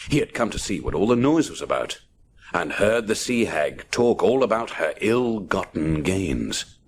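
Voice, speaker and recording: A man's voice: sits low, very animated, measured pace, slight background noise. A man narrating a children's adventure story dramatically, punching out the action words. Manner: punchy, theatrical sound-effect words.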